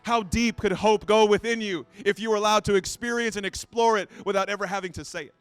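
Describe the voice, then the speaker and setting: A man's voice: high-pitched, fairly even intonation, fast talker, a bit bright, smooth, no echo, soft background music. A man in his late thirties preaching in a conversational, self-deprecating way, often repeating his phrases. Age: 30s. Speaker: pastor. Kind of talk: sermon.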